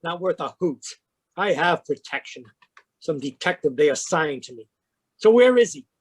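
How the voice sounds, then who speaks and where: Man voicing a crusty jittery old man, gruff, high voice, dry close mic. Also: very animated, moderate pace, dry recording. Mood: irritable. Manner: gruff, irritable, suspicious. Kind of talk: radio drama read live over video call. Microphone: home microphone on a video call.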